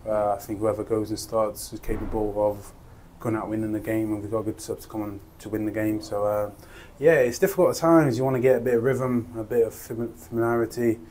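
A man's voice: on the low side, steady tone, fairly quick, hardly any pauses, darkish tone, a little hiss or hum, low-quality recording. A young man, a footballer giving a post-match interview in a modest, laid-back way with lots of fillers, on a lapel mic indoors. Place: indoor interview room by a sponsor board.

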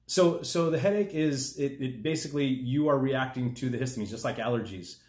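A man's voice: medium pitch, brisk, a little mellow. A man in his forties, a winemaker, explaining wine questions in a friendly casual way, often restarting his sentences, filmed across a table indoors. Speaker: winemaker answering viewer questions. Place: dining room at a table, indoors. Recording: echoey room.

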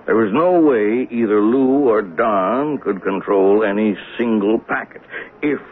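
An actor playing a folksy, observant train conductor in a 1970s radio mystery, musing and plain-spoken. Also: male voice, on the low side, a little slow. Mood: earnest, insistent. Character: folksy, observant commuter-train conductor telling a murder tale. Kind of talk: 1970s radio drama acting, narration and dialogue. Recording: noisy phone-like old radio broadcast.

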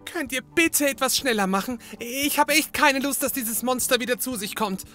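A man's voice: very high voice, normal speed, sharp tone, faint music behind. A man playing a nervous, fussy detective's assistant in a comedy audio drama, chattering and stumbling over words. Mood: anxious, fussy. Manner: fussy, stammering, indignant.

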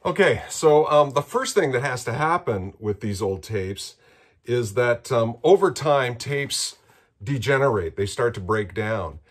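A man, explaining things in a casual, halting vlog style at home. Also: medium pitch, animated, slightly rough. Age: 60s. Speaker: musician and presenter. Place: small rooms in a home.

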